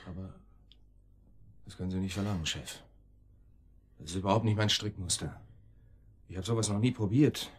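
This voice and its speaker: A reluctant gangster's underling with a low, husky voice. He sounds hesitant and nervous, obedient yet protesting, in radio play style. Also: moderate pace, pauses often. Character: nervous, reluctant male henchman, soft-hearted, obedient. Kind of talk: German radio drama acting.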